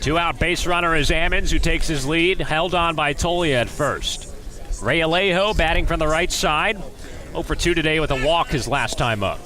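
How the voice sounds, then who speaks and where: A man calling baseball play-by-play as live radio commentary from an outdoor ballpark, rising excitedly on big plays. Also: a little high, moderate pace, soft background music.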